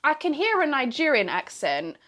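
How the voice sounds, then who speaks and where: A woman's voice: high voice, huge pitch swings, normal speed, bright, forceful tone. A woman hosting an interview, asking friendly, curious questions and giving short agreements as she listens. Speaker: interview host.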